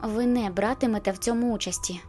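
A woman's voice: a little high, quick pace, darkish tone, soft background music, close to an everyday voice. A woman voicing an anxious, stubbornly protective teenage girl in an audiobook, insistent and pleading. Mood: through clenched teeth.

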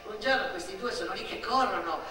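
A woman performing a theatrical narrative monologue, animated and emphatic, building through repetition and lists. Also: medium pitch, a little fast, over music. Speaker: stage actress and storyteller. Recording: very echoey theatre-like space, distant mic.

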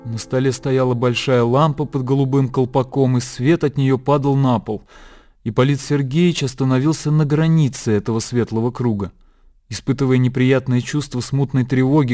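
A man narrating a literary radio drama in a measured storytelling manner. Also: mid-range voice.

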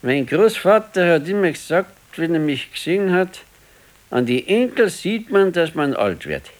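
A Bavarian man in his sixties, wistful and quietly stubborn. His voice sits a little high and moves in wide, lively swings. He muses in short, plain colloquial phrases, as in a naturalistic radio play about an ailing old husband. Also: moderate pace. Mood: weary, reflective.

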